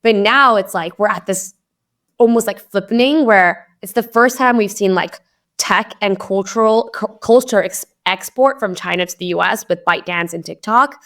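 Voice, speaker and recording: A woman's voice: mid-range voice, normal speed, a bit bright. A young woman from Hong Kong, a venture investor, talking chattily as a podcast guest with lots of "like" fillers. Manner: chatty, upbeat, many "like" fillers. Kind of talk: casual podcast interview.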